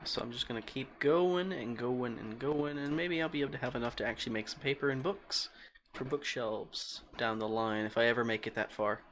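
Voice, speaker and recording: A man livestreams a game in a calm, easygoing voice that sits at an ordinary middle pitch. He rambles as he thinks out loud and replies to his chat. Faint keyboard clicks and a light hiss sit under him. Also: a little fast. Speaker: game streamer. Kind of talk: casual livestream chat while playing.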